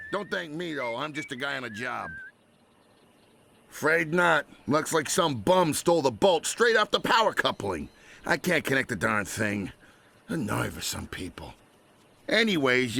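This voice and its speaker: Grumpy working joe repairman, gravelly and sharp, all over the place, game cutscene. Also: on the high side, a little hiss or hum, exaggerated voice. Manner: griping, wisecracking, blue-collar. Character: grumpy working-class union repairman, put-upon griper. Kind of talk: animated video game cutscene acting.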